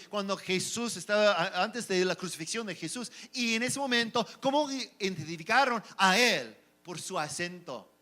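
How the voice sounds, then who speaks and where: A man's voice: high voice, sharp tone. A man preaching a Sunday sermon in Spanish with a non-native accent, asking rhetorical questions and often restarting words. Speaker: pastor.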